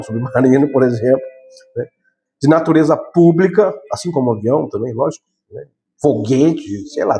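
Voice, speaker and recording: Brazilian guy teaching law, warm mellow voice, keeps tossing in little tag questions, chatty lecture. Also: mid-range voice. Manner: conversational, frequent tag questions, repeats and self-corrects. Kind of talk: explanatory lecture.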